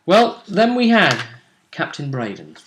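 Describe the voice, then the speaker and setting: A man hosting a tabletop roleplay vidcast, recapping fights in a casual but dramatic storytelling way with emphatic pauses. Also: a little high, big pitch swings, a bit bright, a little hiss or hum.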